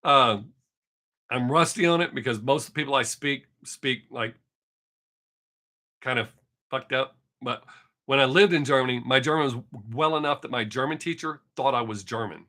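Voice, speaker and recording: A man's voice: mid-range voice, normal speed, bright, forceful tone. A man in his fifties with a Texan accent, chatting casually and rambling on his live stream with some cursing. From Texas. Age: 50s. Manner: rambling, profane, chatty with viewers. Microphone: webcam mic.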